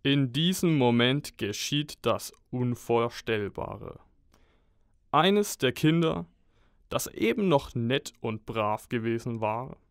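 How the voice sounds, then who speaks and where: Slow-paced man narrating with mock-heroic drama. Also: medium pitch, clean tone, no room sound, clean studio sound. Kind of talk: scripted dramatic storytelling narration.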